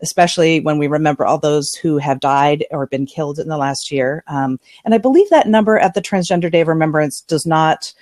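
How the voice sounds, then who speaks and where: Chatty, upbeat online host. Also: female voice, deep voice, brisk, hardly any pauses, darkish tone, no room sound, clean studio sound. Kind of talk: casual online talk show. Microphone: headphones on a video call.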